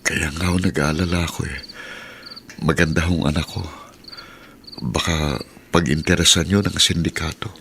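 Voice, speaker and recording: A man's voice: extremely low pitch, fairly even intonation, moderate pace, gravelly, pauses often, a bit bright, a little hiss or hum, full character voice. A man playing a weary, worried father who is convalescing, downcast and subdued, in a Filipino radio drama. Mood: weary worry. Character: weary, anxious father recovering from tuberculosis.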